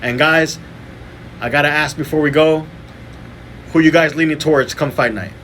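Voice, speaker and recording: A man's voice: a little high, steady tone, normal speed, a bit bright, a little hiss or hum. Casual man hosting a podcast. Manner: casual, runs through fight lineups and picks. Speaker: podcast host. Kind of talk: casual boxing podcast talk.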